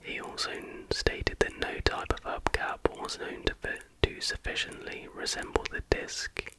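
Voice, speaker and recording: A man whispering in a breathy, unvoiced ASMR style, reading stories aloud as a host. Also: measured pace. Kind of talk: whispered ASMR storytelling, reading with casual asides.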